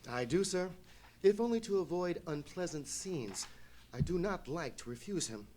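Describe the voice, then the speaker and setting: A man playing an anxious, courteous English lord in a live radio play, with a husky voice and formal, florid speech. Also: fairly high pitch, lively intonation, moderate pace, darkish tone, a little hiss or hum, lightly acted. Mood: apologetic. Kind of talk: live old-time radio play acting.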